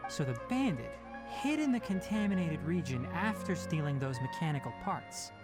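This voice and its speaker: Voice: mid-range voice, sing-song, normal speed, close to an everyday voice. An actor playing a blunt, impatient companion character in a video game, asking pointed questions. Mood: businesslike. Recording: music behind the voice.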